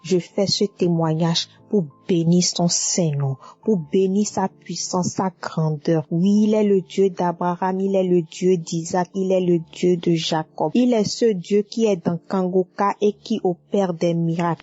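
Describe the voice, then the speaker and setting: A woman's voice: lowish voice, dark tone, no echo, highs cut off. A woman giving a fervent religious testimony, repeating her praises.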